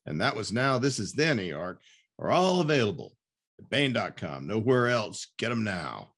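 A man's voice: lowish voice, very animated, normal speed, noisy background. A man hosting a podcast, moving between scripted announcements and casual interview talk. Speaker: podcast host.

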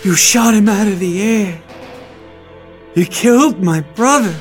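An actor playing a vicious, taunting American gangster in a pulpy audio drama, with slangy, theatrical delivery. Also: male voice, high-pitched, a little slow, soft background music. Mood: grieving rage. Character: vicious taunting gangster with razor powers, grieving brother. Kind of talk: pulpy 1930s gangster radio drama acting.

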